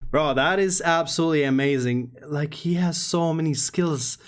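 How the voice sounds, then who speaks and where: A man's voice: a little high, moderate pace, soft background music. A man giving casual, slangy, enthusiastic reaction commentary as a gaming video creator.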